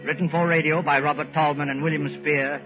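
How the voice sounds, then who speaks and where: A man announcing and narrating a 1940s radio drama from a script, measured and dramatic. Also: fairly high pitch, little pitch movement, music in the background. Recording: tinny old radio broadcast.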